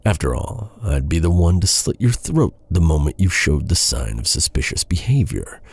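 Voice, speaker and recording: A man playing a bitter, vengeful knight in a dark fantasy audio roleplay, brooding and taunting in a husky, gravelly voice. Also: rumbling low, big pitch swings, normal speed, dry recording, full character voice. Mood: cold menace. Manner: taunting, brooding, dramatic with heavy sighs. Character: bitter vengeful male knight guarding a captive royal. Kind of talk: immersive dark fantasy ASMR roleplay acting.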